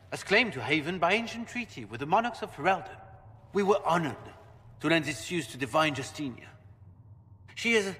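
Haughty fantasy marquis: bright, pompous, indignant; game audio with long reverb. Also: male voice, fairly high pitch. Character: haughty noble marquis, middle-aged man. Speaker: game character.

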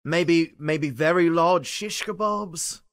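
A man's voice: fairly high pitch, slow. A man voicing a nervous, lovesick young blacksmith, hesitant and awkward, in an improvised comedy dub. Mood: nervous, eager.

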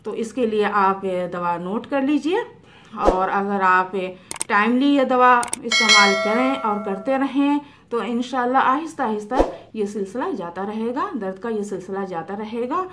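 A middle-aged woman homeopathic doctor, explaining remedies to camera in an unhurried way with many fillers, in a small room. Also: medium pitch, heavy background noise. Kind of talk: health advice talk to camera. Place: small indoor room by a curtained window.

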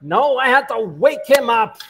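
A woman plays a high-pitched old granny from a vintage radio comedy. She is a folksy widow, coy and cheeky, the neighborhood know-it-all who lands every punchline. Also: big pitch swings, measured pace, vocal fry, background noise, full character voice. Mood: feisty. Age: older. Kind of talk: 1940s radio comedy acting. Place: live stage in a museum hall.

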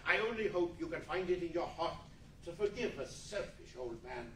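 A man's voice: a little high, expressive, moderate pace, bright, forceful tone. A man acting a reformed old miser in a 1940s-style radio play, effusive, generous and repentant in a theatrical manner. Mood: tender, repentant. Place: large indoor meeting room, live stage reading. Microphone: far camera. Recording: echoey meeting room from far off, noisy.

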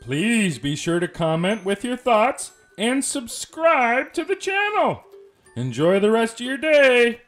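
A woman's voice: fairly high pitch, sing-song, takes it slowly, soft background music. A woman voicing a friendly, silly little cartoon beaver who hosts a children's story channel. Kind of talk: children's channel intro and sign-off.

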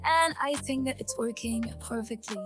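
A man talking through a pitched-up AI voice changer as a young female pop singer. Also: moderate pace, a bit bright, faint music behind. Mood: upbeat.

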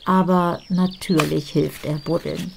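Ruhige Vorleserin mit tiefer Stimme. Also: female voice, deep voice, steady tone, unhurried, gravelly, darkish tone, a little hiss or hum. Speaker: storybook reader. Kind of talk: scripted picture book narration.

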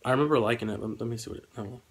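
A man's voice: medium pitch, racing, slightly rough. A young man with a slightly congested voice, chatting casually and hesitantly as he rambles through a vlog. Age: young adult. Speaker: vlogger. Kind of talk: casual eating vlog chat. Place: small room indoors at home.

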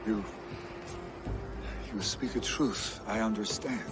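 Slow-spoken young Viking warrior. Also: male voice, on the low side, loud music behind the voice. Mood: subdued. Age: young adult. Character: restless young Viking warrior, grieving jarl's son. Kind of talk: video game cutscene acting.

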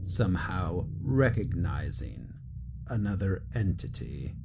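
A man voicing a pompous corporate bureaucrat with a very low voice, speaking in clipped, commanding phrases. He is condescending and cold. A soft ambient hum sits underneath the audio drama. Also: big pitch swings, slow pace, gravelly, full character voice. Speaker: superior officer running an interrogation.

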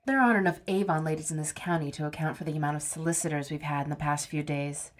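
A woman's voice: low-pitched, fairly even intonation, fast, natural voice. A woman playing a wry, emotional heroine kept as a living head in an audio drama, in naturalistic, pointed dialogue. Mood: bitter, agitated.

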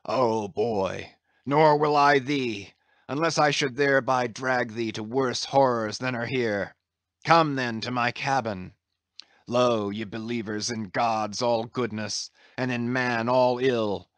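Man acting old whaling captain, brooding, grand archaic delivery, unhurried, dry studio sound, storybook drama. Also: medium pitch, fairly bright tone, no echo. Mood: grand, impassioned. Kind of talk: dramatised classic sea novel reading.

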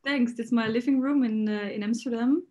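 A young woman designer talking from her living room on a video call, soft-spoken and thoughtful. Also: a little high, fairly quick, highs cut off. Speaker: designer, panelist. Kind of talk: casual panel talk.

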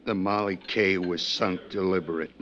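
An actor playing an uneasy, plain-spoken merchant sailor with folksy, hesitant, ungrammatical talk in 1950s radio style. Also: male voice, low-pitched, fairly even intonation, normal speed, fairly bright tone. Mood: grave, confiding. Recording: dull, lo-fi 1950s radio recording.

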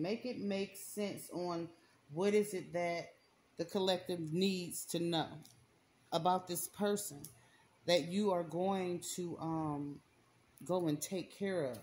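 A woman's voice: lowish voice, a little mellow, slight background noise. A woman doing a casual spiritual card reading, talking straight to the viewer, repeating herself for emphasis and using slang. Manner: repetitive, emphatic, slangy, talks to viewer directly. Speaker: spiritual reader. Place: indoors at a table, hands and cards on camera.

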